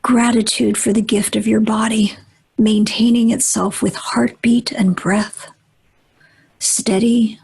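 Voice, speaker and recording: A woman leading a guided meditation in a gentle, deliberate manner, with long pauses and a breathy, husky voice that often nears a whisper. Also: a little high, little pitch movement, rough recording.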